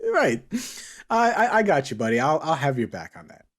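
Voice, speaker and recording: Male host, slightly high voice, very animated intonation, playful teasing, loose conversational style. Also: normal speed. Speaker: podcast host. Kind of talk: casual panel chat.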